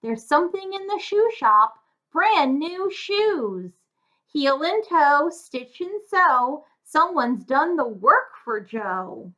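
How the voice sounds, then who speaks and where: A woman's voice: high voice, sing-song, takes it slowly, a bit bright, heavily acted. A woman voicing a cobbler's plain-spoken wife in a rhyming storybook tale, in sing-song lines. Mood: excited.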